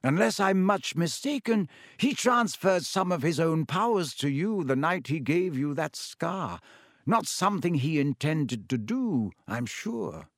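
A man voicing a calm, kindly old male headmaster wizard, speaking slowly and gently in an audiobook. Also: fairly high pitch, dry recording, clean studio sound, voice pushed up, heavily acted. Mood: calm, wise. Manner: slow, gentle, measured speech. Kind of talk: audiobook character voice.

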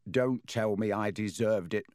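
A man's voice: lowish voice, measured pace, a little mellow, no room sound, close to an everyday voice. A faint-hearted schoolboy played by a man sounds dismayed and uneasy in a storybook. The recording is dry and free of room sound.

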